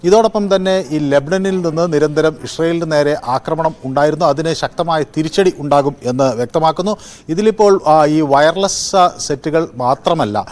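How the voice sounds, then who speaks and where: A man with a Kerala accent giving an unscripted live news report in halting, pause-filled phrases. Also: a little high, darkish tone.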